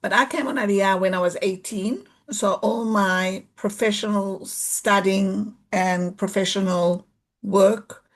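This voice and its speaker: A woman's voice: medium pitch, fairly even intonation, slow pace. A South African woman in her fifties, an occupational therapist, giving a loose, chatty talk over a video call and sprinkling in Hebrew words. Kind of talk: informal online presentation.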